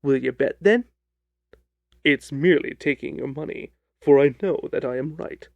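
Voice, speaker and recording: A man voicing a surly, cocksure, quick-tempered Victorian poultry salesman in a blustering, combative storybook performance. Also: a little high, gravelly, no room sound, phone-quality sound. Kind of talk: audiobook character voice.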